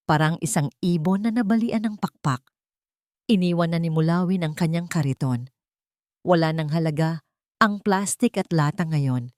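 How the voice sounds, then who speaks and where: A woman telling a dramatic story with a low, deep voice. Her tone is dark and mellow, and the steady narration comes through a dry, close studio mic. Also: no echo. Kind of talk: scripted audio drama narration.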